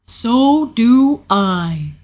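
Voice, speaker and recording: Woman as a slow, bumbling storybook boy bear. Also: fairly high pitch, big pitch swings, a little mellow, heavily acted. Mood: fond. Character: slow, good-natured bumbling male toy bear. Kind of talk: children's storybook character reading.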